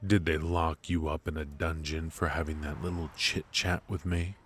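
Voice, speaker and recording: A man playing a ruthless, teasing mafia boss in a noir ASMR roleplay, smug and flirtatious, with drawn-out pauses. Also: rumbling low, flat delivery, slight background noise. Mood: casual, teasing.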